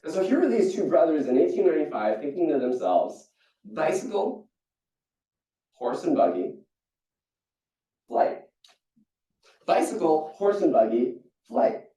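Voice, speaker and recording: A young man from Singapore gives a storytelling keynote with a mellow, darker voice, often restarting his sentences. He sounds far from the mic in an echoey hall, and the recording is dull and muffled. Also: measured pace, long pauses. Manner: storytelling, animated with jumps in pitch and frequent restarts. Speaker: keynote speaker. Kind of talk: motivational keynote talk. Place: conference hall.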